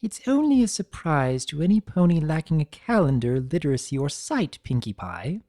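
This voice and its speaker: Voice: medium pitch, very animated, normal speed, mellow, voice pushed up, natural voice. A narrator voicing a prim, refined and proud unicorn lady with a formal, proper manner for a fan story reading. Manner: proper, formal, easily flustered.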